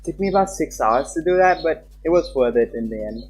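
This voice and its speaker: A man's voice: a little high, lively intonation, a little fast, a little mellow, slightly rough, faint music behind. A man streaming live, chatting casually with viewers and talking to himself as he fiddles with his stream settings.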